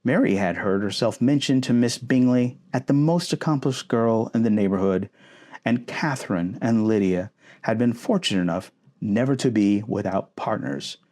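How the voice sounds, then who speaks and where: A man reading a novel aloud in an expressive ASMR boyfriend roleplay style. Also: on the low side, moderate pace.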